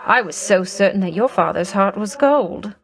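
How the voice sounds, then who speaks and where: A vain, flirtatious game goddess of love, purring and coy, with a lively sing-song lilt. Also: female voice, mid-range voice, moderate pace, gravelly. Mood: tender. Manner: coy, teasing, sultry, with sweet-voiced threats. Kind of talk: video game voice lines.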